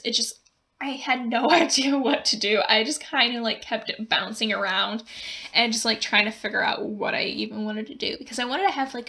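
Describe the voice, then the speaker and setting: A young woman narrating her building game in a casual, rambling way, often laughing, with her voice going hoarse. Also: on the high side, pushed and bright. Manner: rambling, giggly, lots of fillers. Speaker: gaming video creator.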